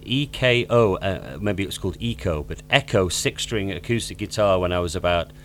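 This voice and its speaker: A man's voice: sits low, normal speed, faint music behind. Easygoing musician man chatting after a song. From England, near Liverpool. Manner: relaxed, easygoing, rambles with restarts. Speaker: musician guest. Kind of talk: casual live-session chat.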